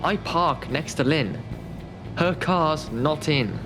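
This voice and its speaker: A man's voice: fairly high pitch, slow, music in the background. A man playing a tired, self-deprecating call-centre worker who banters drily in an audio drama. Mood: worried. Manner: dry, grumbling banter. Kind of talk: naturalistic audio drama acting.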